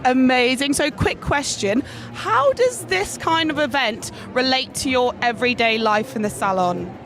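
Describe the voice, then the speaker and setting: A woman reporter interviewing visitors at a trade show with a handheld mic, upbeat and quick with her questions. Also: sits high, expressive. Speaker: roving reporter. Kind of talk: vox-pop interviewing. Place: busy trade show hall. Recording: crowd noise of a trade show.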